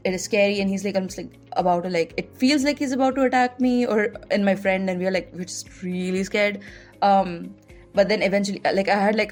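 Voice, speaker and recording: A young woman speaking with an Indian English accent tells stories in a loose, chatty way, with "like" scattered through her sentences. She talks as a podcast host, with soft music faintly underneath. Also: mid-range voice. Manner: chatty, heavy use of "like" fillers. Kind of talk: casual personal storytelling.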